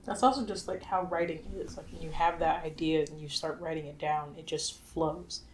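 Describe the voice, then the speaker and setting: A woman's voice: low voice, fairly even intonation, normal speed, darkish tone, slight background noise, low-quality recording. A woman co-hosting a podcast, talking in casual, informal conversation. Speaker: podcast co-host. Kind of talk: casual chat.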